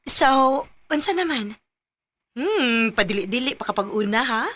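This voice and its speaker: High-voiced, teasing Cebuano gal pal. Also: female voice, animated, a little slow, fairly bright tone. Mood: teasing, prying. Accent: Cebuano Filipino. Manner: teasing, prodding. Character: nosy, teasing female friend, matchmaking confidante. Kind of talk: radio drama acting in Bisaya.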